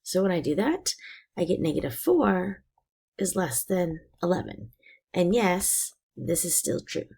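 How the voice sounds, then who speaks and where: A woman teacher explaining a math lesson step by step, her voice raspy and hoarse from being sick. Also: on the low side, lively intonation, unhurried, darkish tone. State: hoarse from illness.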